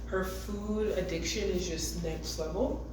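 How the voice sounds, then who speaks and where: Raspy young woman co-hosting a chill podcast, lowish voice, kinda far from the mic in an echoey room. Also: measured pace. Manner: laughs often, relaxed. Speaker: podcast co-host. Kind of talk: casual chat. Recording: echoey room, distant mic, background noise.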